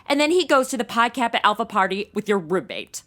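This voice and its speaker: A gossipy young American in an audio comedy. Her voice sits fairly high and moves around a lot as she commiserates in modern slang. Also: sing-song, fairly quick, fairly bright tone. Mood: commiserating. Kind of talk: comedy audio drama acting.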